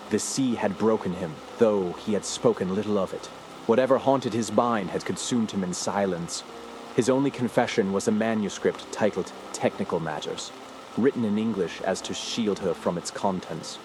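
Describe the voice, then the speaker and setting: A male actor voices a grave investigator telling his story in a radio drama. The low voice is solemn and literary, heavy with dread. Ambient noise sits clearly behind it. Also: moderate pace, natural voice. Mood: somber, dread. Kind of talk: scripted first-person radio drama narration.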